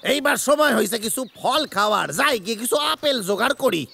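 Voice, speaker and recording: Casting: smug cartoon schemer, heavily creaky, sharp, forceful voice, faint music behind. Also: male voice, very high voice, expressive, fast, full character voice. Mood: smug, relishing. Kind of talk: Bengali cartoon dub.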